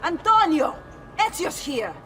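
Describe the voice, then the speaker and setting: Animated, flirty Italian woman. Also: extremely high pitch, measured pace, recorded from a distance, a little hiss or hum, heavily acted. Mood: calling out. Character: flirtatious, playful female Italian courtesan thief. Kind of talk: dramatic video game acting.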